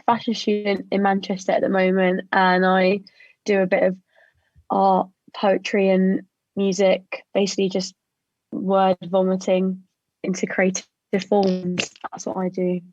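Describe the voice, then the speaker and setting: A twenty-year-old woman from Thanet introduces herself over a video call in a relaxed, laughing way. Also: medium pitch, measured pace, dry recording. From Thanet, England. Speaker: panelist. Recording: dull, dry video call audio.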